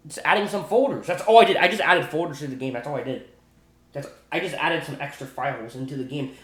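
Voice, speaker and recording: Male gaming creator, higher-than-average pitch, casual and repetitive with slangy enthusiasm, roomy echoing home recording. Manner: repetitive, stumbling, restarts sentences often. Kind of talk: rambling casual commentary on video game glitches.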